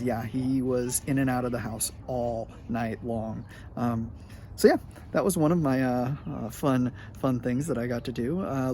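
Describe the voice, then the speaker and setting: A middle-aged man telling a casual story to his students in a chatty, animated way, with lots of filler words. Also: medium pitch, a little hiss or hum. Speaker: high school sports medicine teacher.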